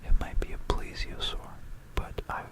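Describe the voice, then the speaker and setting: An ASMR creator whispering about history in a hushed, rambling, musing way, close into a stereo microphone. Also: moderate pace. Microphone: close stereo binaural microphone.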